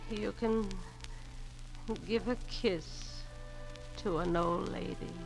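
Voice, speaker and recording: An actress playing a frail, dying old lady in a 1940s radio drama, gruff but fond, speaking in terse, halting phrases. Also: medium pitch, steady tone, rough recording. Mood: tender, gruff. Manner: slow, terse, halting delivery. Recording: old 1940s radio recording, music underneath.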